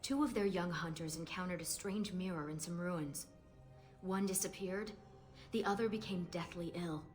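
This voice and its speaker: A woman's voice: fairly low pitch, moderate pace, soft background music, close to an everyday voice. A woman playing a young Dalish elf hunter in a video game, playful and direct as well as earnest. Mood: somber.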